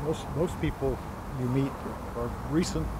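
A man's voice: medium pitch, unhurried, noisy background. A man in his sixties from Hawaii, telling family stories as an interview guest outdoors, gesturing as he talks. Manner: animated storyteller, gestures while talking. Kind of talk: storytelling in a conversational interview. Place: outdoors on palm-lined grounds by a church.

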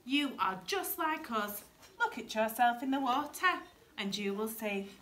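Woman as a friendly swan, high-pitched. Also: lively intonation, unhurried, a bit bright. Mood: reassuring. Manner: calling out invitingly.

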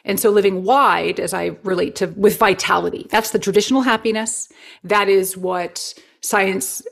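Rich: A woman in her forties talks with animated enthusiasm, her mid-range voice carrying a gravelly edge of vocal fry. She explains her ideas expressively, speaking through a headset over a video call from a living room. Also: medium pitch, normal speed. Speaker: guest, well-being researcher. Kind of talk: enthusiastic conversational interview.

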